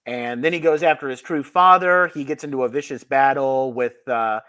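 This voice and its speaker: A man's voice: mid-range voice, moderate pace, bright, forceful tone, clear, resonant tone. A man co-hosting a podcast, recapping a story in casual conversation. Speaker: podcast co-host recapping an episode. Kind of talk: casual chat, plot recap.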